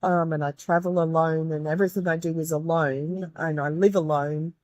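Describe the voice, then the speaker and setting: A low-pitched woman talks earnestly as an interview guest. Her voice is croaky and worn, and she often restarts her sentences. The recording is close and dry. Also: fairly even intonation, normal speed, darkish tone, dry recording. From Australia. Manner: earnest, grateful, with many restarts. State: croaky, tired voice. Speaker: guest, medium and tutor. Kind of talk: reflective interview talk.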